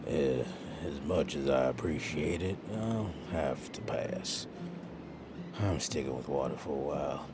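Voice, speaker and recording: Very deep, slow, weary man. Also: noisy background. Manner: dry, groaning, understated. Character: weary, long-suffering, good-natured male agent. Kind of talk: audio drama acting.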